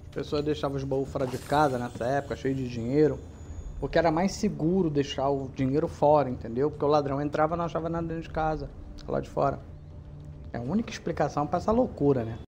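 A man's voice: on the high side, fast, darkish tone, a little hiss or hum. A man with a Brazilian accent giving chatty, slangy commentary on a video game as he plays, talking straight to his viewers. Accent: Brazilian Portuguese. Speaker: gameplay commentator. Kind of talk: casual let's-play commentary.

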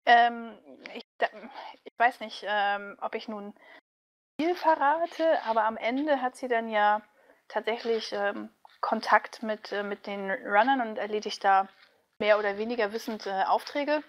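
A woman with a fairly high voice talks casually in an interview. She comes across as chatty and animated, explaining things in a loose, conversational way. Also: a little slow, highs cut off. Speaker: voice actress, interview guest.

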